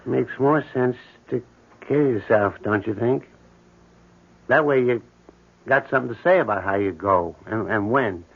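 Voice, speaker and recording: A man's voice: medium pitch, normal speed, creaky, pauses often, breathy edge. Morbid, casual handyman, tinny sound. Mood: brooding, morbid. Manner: casual, matter-of-fact, brooding. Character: odd, morbid building handyman obsessed with ghosts. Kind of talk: 1970s radio drama acting. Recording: thin, tinny old radio broadcast.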